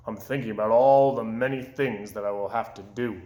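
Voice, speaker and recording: A man's voice: lowish voice, fairly quick, warm tone, highs cut off, pitched below the performer's own voice, lightly acted. A man voicing a grumpy, gloomy toad in a children's storybook, grumbling and sulky, in a roomy echo. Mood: glum. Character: grumpy, gloomy toad who puts off chores. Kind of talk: children's storybook read-aloud.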